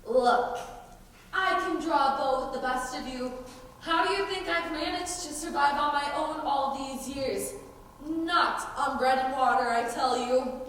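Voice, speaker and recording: A teenage girl playing a feisty, indignant, independent nun with an emphatic, sarcastic delivery in a high school stage play. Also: unhurried, fairly bright tone. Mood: sarcastic, defiant. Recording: distant, echoey hall with background noise.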